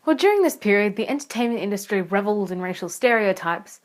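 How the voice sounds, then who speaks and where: A woman's voice: mid-range voice, expressive, fast. A young woman presenting a scripted analysis as a student narrator. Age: young adult. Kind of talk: scripted video essay narration.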